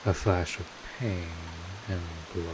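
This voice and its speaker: Slow, low-voiced wry vampire mentor, played by a man. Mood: wry, measured. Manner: wry, unhurried, casual, with swearing. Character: seasoned male vampire mentor, wry, streetwise, patiently reassuring. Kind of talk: scripted audio roleplay, one-sided conversation to the listener. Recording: steady hiss, rain-like noise.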